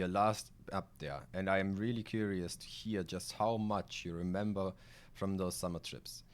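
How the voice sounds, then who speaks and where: Very deep-voiced German man streaming games. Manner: casual, sweary, rambling asides. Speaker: video game streamer. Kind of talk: live gameplay commentary, reading game text aloud.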